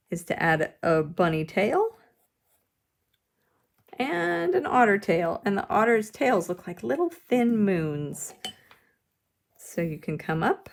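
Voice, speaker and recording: A woman teaches a drawing exercise step by step at a very slow pace. Her medium-pitched voice has a noticeable creak. She explains things simply and encouragingly, as if for children. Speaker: art teacher leading a drawing lesson. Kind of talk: instructional demonstration, step-by-step.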